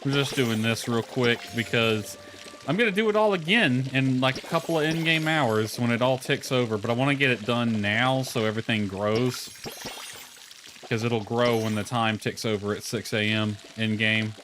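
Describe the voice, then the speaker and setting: A man's voice: medium pitch, normal speed, noisy background. A man chatting casually on a gameplay livestream, thinking aloud as he plays. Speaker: game streamer. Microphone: headset.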